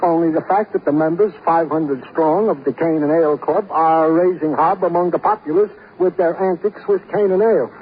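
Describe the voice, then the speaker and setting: An actor playing an earnest, easily wounded police sergeant in a radio drama, with plaintive, florid, mock-formal phrasing. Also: male voice, fairly high pitch, moderate pace, hardly any pauses, lightly acted. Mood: glum, chatty. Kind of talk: 1950s radio drama acting. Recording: muffled, faintly noisy 1950s radio recording.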